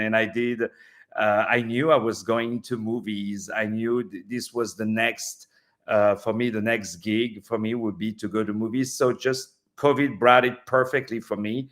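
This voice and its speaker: A man's voice: medium pitch, a bit bright. A middle-aged man from France with a French accent, talking in an interview as a film director, effusive and joking, with many fillers. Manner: effusive, joking, talks with his hands.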